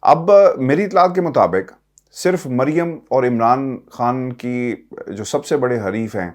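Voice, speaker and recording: A man's voice: medium pitch, animated, unhurried. A man with a Pakistani accent who gives news commentary to his viewers as a political vlogger, speaking emphatically with rhetorical questions and repeated phrases.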